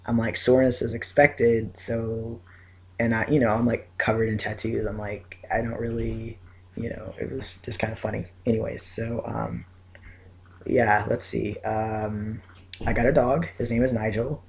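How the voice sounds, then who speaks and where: A man's voice: medium pitch, little pitch movement, normal speed, gravelly, a little mellow. A young man vlogging casually from bed, groggy and rambling, his voice scratchy after surgery. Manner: groggy, rambling, lots of fillers. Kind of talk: casual chat to camera. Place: in bed at home.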